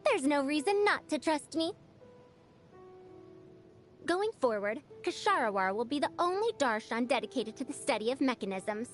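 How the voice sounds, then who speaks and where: An actress playing a proud, bossy ancient scholar who sounds youthful, lecturing theatrically as a video game character. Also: female voice, sits high, big pitch swings, moderate pace, faint music behind.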